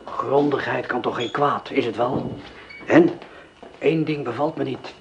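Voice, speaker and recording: An actor playing a cold male gang leader in a radio drama, who gives orders in a calm, precise way. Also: mid-range voice, unhurried, highs cut off, background noise, lightly acted.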